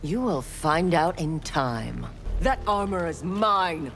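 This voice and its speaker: A woman's voice: on the low side, animated, slow, soft background music. A woman voicing a proud, ruthless young female ninja warrior in a video game, speaking in a cold, haughty, clipped way.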